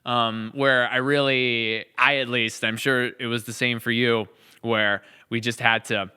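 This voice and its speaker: A man's voice: mid-range voice, little pitch movement, a little slow, bright, forceful tone, clean tone. An American man hosting a podcast in casual, reflective conversation, emphatic and repeating phrases for weight. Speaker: podcast host and series creator.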